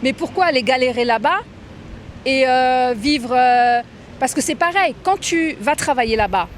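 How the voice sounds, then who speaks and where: High-voiced French woman in street interview. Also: animated, normal speed. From born in Tunisia, grew up in France from age five. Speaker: interviewee, director of a diaspora federation. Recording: outdoor street recording with background noise.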